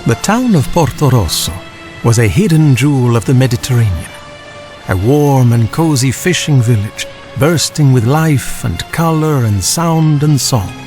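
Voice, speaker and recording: A man's voice: medium pitch, animated, a little slow, a little mellow, soft background music. A man reading a children's storybook as a scripted audiobook narrator.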